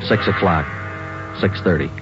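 A man playing a terse deep-voiced police sergeant. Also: moderate pace, close to an everyday voice. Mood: flat narration. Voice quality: clipped, flat, businesslike. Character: terse male police sergeant narrating a case. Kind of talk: 1940s radio acting. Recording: dull vintage radio broadcast with music.

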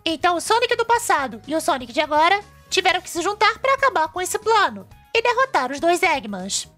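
A woman's voice: very high-pitched, big pitch swings, fairly quick, bright, forceful tone, faint music behind, full character voice. A woman voicing a cheerful, brainy young male fox who presents a cartoon web show, eagerly explaining things with a Brazilian accent. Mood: explanatory.

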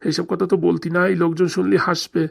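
A cartoon actor plays an anxious father near retirement with a rural Bengali accent. He talks extremely fast, rambling on in an aggrieved, lamenting way, like a man forever complaining in a village comedy. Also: medium pitch, little pitch movement, creaky. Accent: Bengali, rural dialect. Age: middle-aged. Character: anxious, aggrieved father nearing retirement, fretting over lost money.

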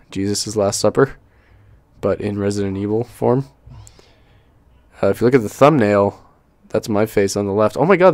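A young man with a fairly low voice reacts casually to an unboxing video. He jokes and swears as he comments off the cuff. The recording is dry and close. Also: a little mellow, no room sound. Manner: joking, sweary, easily amused.

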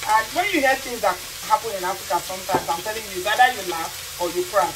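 A woman's voice: medium pitch, fast. Impassioned Nigerian woman vlogging in her kitchen. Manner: impassioned, rhetorical questions, repeats phrases for emphasis. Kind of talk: cooking vlog with social commentary. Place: home kitchen, indoors. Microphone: camera on the counter. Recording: frying noise behind voice.

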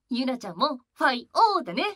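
A man's voice: extremely high pitch, bright, forceful tone, dry recording, studio quality, heavily acted. A man playing a sweet, cutesy, girlish young male idol in a voice drama, bubbly in manner. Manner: cute, bubbly, refers to self by name. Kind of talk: Japanese voice drama acting.

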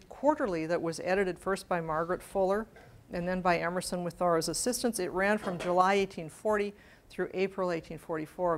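A middle-aged woman with a fairly low voice gives an academic lecture. She explains carefully and a little hesitantly, speaking into a lapel mic in a small meeting room. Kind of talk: prepared academic talk to an audience.

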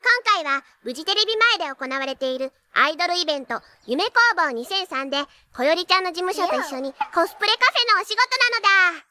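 A woman's voice: very high-pitched, lively intonation, quick pace, few pauses, bright, forceful tone, exaggerated voice. A woman playing a perky, cheeky teenage idol magical nurse in an anime, with cutesy catlike tics. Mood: bubbly, excited. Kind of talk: anime character acting and excited narration.

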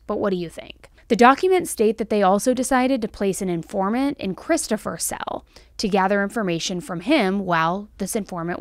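A woman's voice: mid-range voice, no echo. An American woman in her thirties hosting true crime commentary, speaking in a conversational, emphatic way into a close podcast microphone. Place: small indoor studio set. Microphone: close podcast microphone on stand.

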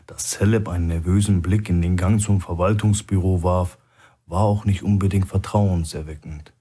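A man's voice: low-pitched, steady tone, normal speed, mellow, breathy edge. A man narrating a thriller audiobook from a script.

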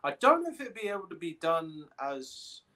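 Male podcast co-host, young, bright forceful tone, pitched a little high, casual chat. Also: big pitch swings, moderate pace. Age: young adult. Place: indoor room on a video call.

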